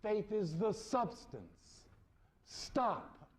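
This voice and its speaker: A man preaching an esoteric spiritual sermon, deliberately repeating phrases for emphasis. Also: high-pitched, very animated.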